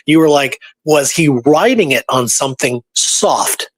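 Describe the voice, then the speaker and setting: Male co-host, higher register, casual and emphatic history talk, dry recording. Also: animated, measured pace, dry recording. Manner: emphatic, repeats points for stress. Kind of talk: casual conversational history discussion.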